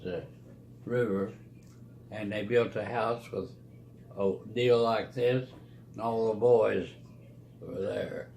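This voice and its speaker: A man, talking casually to family in a halting, rambling, folksy and playful way. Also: on the low side, steady tone, gravelly, a little mellow, a little hiss or hum, poor audio. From Oklahoma, United States. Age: 90s. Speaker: retired preacher, grandfather of the vlogger.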